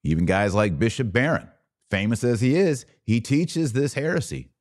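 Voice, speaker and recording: A man's voice: mid-range voice, expressive, normal speed, darkish tone. A man hosting a podcast, giving a blunt, informal religious commentary in an emphatic, preachy way. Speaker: podcast host.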